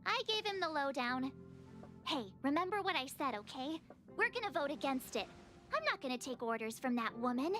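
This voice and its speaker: A woman's voice: high-pitched, sing-song, moderate pace, fairly bright tone, faint music behind. A woman playing a peppy, hot-headed young girl in an anime-style video game, brash and emphatic. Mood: urgent, defiant.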